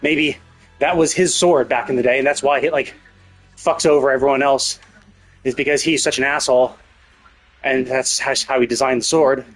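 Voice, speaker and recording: A man's voice: medium pitch, fairly even intonation, normal speed. Man rambling casually over background music. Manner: rambling, hesitant, sweary, speculative. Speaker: live game streamer. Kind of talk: casual livestream commentary, thinking aloud.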